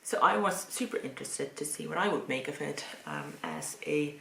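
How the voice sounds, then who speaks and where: A woman speaking English, casually reviewing books in a thoughtful, hesitant way with frequent pauses. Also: low-pitched, huge pitch swings, gravelly, sharp tone. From Netherlands. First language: Dutch. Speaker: book reviewer. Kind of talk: casual book review vlog. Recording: roomy echo, faint background noise.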